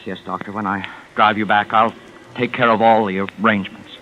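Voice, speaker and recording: A man's voice: on the low side, flat delivery, a little fast, natural voice. An actor playing a solicitous theatrical manager who hides his guilt, in hesitant 1950s radio drama style. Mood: anxious. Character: smooth-talking, solicitous male theatrical manager hiding guilt. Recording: muffled, noisy vintage radio recording.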